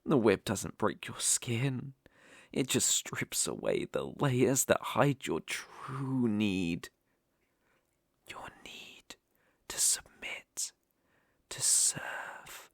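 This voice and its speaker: British man playing a slow-spoken, possessive dark elf master. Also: lowish voice, big pitch swings, creaky, no room sound, whispered, studio quality, heavily acted. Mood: whispered, hypnotic. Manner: slow, taunting, condescending, lingering on words. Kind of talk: intimate ASMR roleplay performance.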